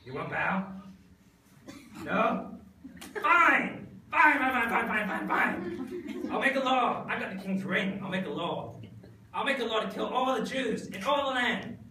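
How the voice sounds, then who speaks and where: A woman's voice: fairly high pitch, very animated, a little slow, pauses often, full character voice. A woman playing an evil, mad, bragging royal henchman in an amateur comic puppet show, bossy and repetitive. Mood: furious. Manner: gloating, bossy, repeating himself. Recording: noisy echoey hall, far mic, loud music.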